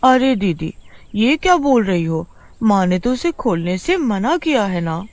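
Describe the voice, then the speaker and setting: A woman's voice: medium pitch, big pitch swings, a little slow, a little mellow, no room sound, voice pushed up. A woman voicing a timid, questioning young village girl with a fretful, childlike manner in a storybook tale. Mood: worried. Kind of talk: storybook character voice.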